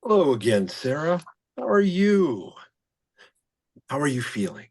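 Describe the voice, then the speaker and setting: A man playing an overfamiliar Pentecostal faith healer in a table read, husky and intrusively solicitous. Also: on the high side, big pitch swings, a little slow, heavily acted.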